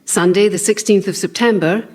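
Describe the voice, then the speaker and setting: A woman's voice: mid-range voice, fairly even intonation, moderate pace, a little mellow. A woman reading scripted announcements formally as a radio announcer. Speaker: announcer and reader.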